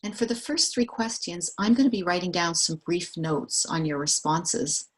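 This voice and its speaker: Woman interviewer, lower register, methodical and patient, careful structured delivery. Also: moderate pace. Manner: calm, methodical, reads questions and summaries back. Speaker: survey interviewer.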